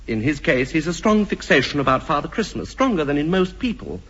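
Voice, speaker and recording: An actor playing an earnest, pompous doctor with formal, deliberate phrasing in a 1950s radio comedy. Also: male voice, on the high side, sing-song, fairly bright tone, heavily acted. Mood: grave, professorial. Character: earnest, pompous male doctor with a childish streak. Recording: noisy, dull old radio recording with clicks.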